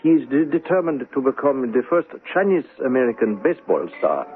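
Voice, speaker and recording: Male actor, elderly philosophical gentleman, radio drama, dry and courteous, deliberate, expressive pitch, narrow-band old radio. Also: natural voice. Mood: dryly amused. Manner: formal, deliberate, sententious. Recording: old radio broadcast sound.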